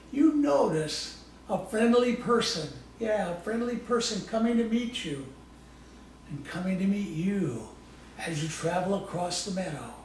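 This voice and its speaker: A man's voice: high voice, darkish tone. A man leading a live guided imagery exercise in a soothing, coaxing, informal way, often repeating short phrases. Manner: folksy, informal wording. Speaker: guest presenter demonstrating guided imagery. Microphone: distant microphone. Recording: echoey room from far off, faint noise.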